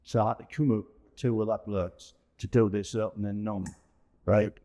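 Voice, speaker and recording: A man's voice: lowish voice, steady tone, normal speed, mellow. A middle-aged or older man, chatting colloquially and anecdotally as a veteran amateur performer. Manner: colloquial, anecdotal, frequent tag phrases. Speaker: veteran amateur pantomime performer, interview guest. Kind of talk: casual chat.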